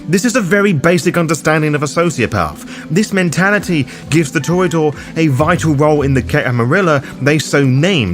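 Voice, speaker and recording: A man reading a scripted guide as narrator, with a theatrical, arch delivery. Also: medium pitch. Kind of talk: scripted video essay narration. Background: background music.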